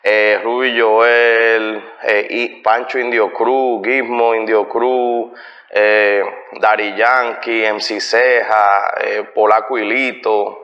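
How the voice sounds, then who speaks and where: A middle-aged man with a Puerto Rican Spanish accent talks easily as an interview guest. His voice sits at an ordinary pitch and sounds dull and lo-fi. It also carries a long reverb, picked up by a camera across a bright living room. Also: flat delivery, takes it slowly. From Puerto Rico. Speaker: guest, musician. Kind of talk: casual interview.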